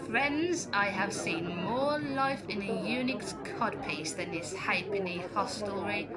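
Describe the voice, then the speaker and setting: A mocking, jaded reveller , acted by a woman, calls out slowly over a very loud crowd. The delivery is rousing period-drama verse. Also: medium pitch, animated, rough recording. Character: jaded, mocking tavern reveller rallying friends. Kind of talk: Shakespearean audio drama. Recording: loud crowd and street noise.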